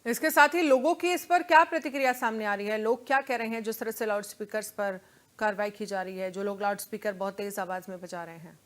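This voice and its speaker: A woman's voice: mid-range voice, fast. A woman, presenting live news and putting questions to a reporter as anchor.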